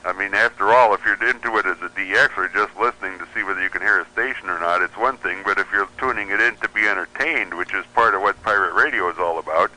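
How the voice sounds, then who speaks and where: A man's voice: sits low, fast talker, few pauses, sharp tone, low-quality recording. A man chatting and explaining as a guest in a casual radio interview, with a wry manner.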